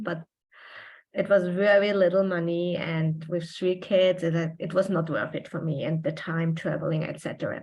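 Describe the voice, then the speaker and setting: A woman in her forties, a native German speaker talking in English as a podcast guest, reflective and halting with many pauses and fillers. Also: fairly low pitch, poor audio. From Austria and Taiwan. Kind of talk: personal interview conversation.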